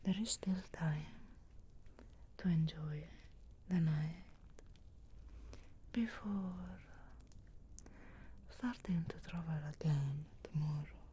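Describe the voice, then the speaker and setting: Woman as a whispering, slow, teasing witch. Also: low voice, mellow, slight background noise. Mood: flirtatious, soft.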